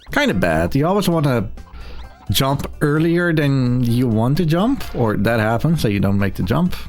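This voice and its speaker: Male streamer, casual game commentary, mid-pitched, joking, faint music behind. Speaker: streamer, speedrunner.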